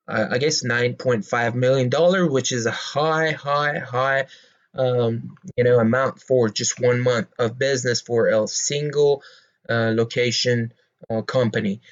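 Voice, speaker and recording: A man's voice: medium pitch, lo-fi sound. A man whose first language is Persian, speaking English with a Persian accent, casually walking through a stock analysis with plenty of hesitant fillers and restarts. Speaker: investing video host.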